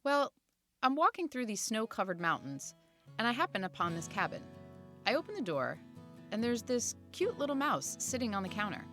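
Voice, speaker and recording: A woman's voice: a little high, sing-song, normal speed, fairly bright tone, faint music behind. A woman playing a chatty, perky barista with a wry streak in an indie audio drama. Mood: chatty, bright.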